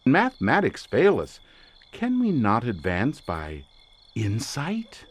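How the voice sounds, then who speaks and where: An actor playing an obsessive, eccentric occult researcher in a radio suspense drama, lecturing in grand theatrical phrases. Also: male voice, medium pitch, wildly swooping pitch, takes it slowly, a little hiss or hum. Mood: musing, insistent. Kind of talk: old-time radio suspense drama acting.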